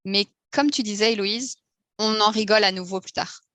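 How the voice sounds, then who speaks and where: A woman's voice: mid-range voice, normal speed, bright, forceful tone, no echo. A woman, a podcast guest who lived through cancer, speaking candidly and lightly. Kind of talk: casual podcast conversation.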